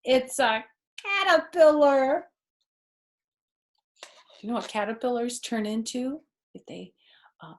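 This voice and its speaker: A middle-aged woman doing a read-aloud storytime for children, explaining things simply in a deliberate way with chatty asides, recorded in a living room. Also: a little high. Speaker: storyteller reading picture books to children. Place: small furnished living room, indoors.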